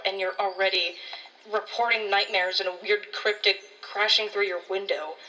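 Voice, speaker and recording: A woman's voice: medium pitch, pushed and bright, recorded from a distance, natural voice, poor audio. A woman playing a blunt, condescending ranger colleague in an audio drama, talking in a world-weary, slangy way. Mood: blunt, skeptical.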